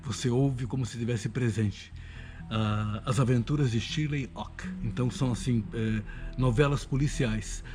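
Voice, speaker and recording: A man's voice: mid-range voice, steady tone, moderate pace. A Brazilian man, a radio drama voice actor, talking casually and enthusiastically in a promotional interview. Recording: music behind the voice.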